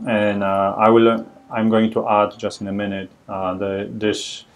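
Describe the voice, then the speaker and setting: A man with a Polish accent walks a room through a live coding demo. His voice sits on the lower side, and he talks haltingly, with frequent fillers and restarts. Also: completely flat delivery. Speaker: workshop presenter.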